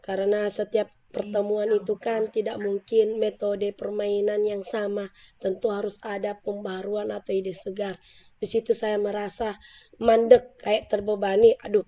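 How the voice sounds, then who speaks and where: Hoarse woman teacher with a cold. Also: medium pitch, level tone, measured pace, mellow, a little hiss or hum. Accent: Indonesian, Central Sulawesi. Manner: polite, earnest, explains at length. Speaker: teacher being coached. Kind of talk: role-play coaching conversation.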